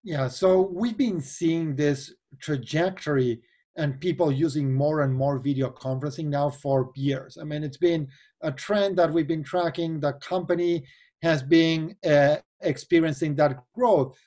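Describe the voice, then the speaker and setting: A man's voice: a little high, measured pace, dark tone. A man in his forties from Argentina with an Argentine accent, explaining earnestly as an investor guest over a video call. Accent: Argentine Spanish. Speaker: venture capital investor guest. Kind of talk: remote video interview.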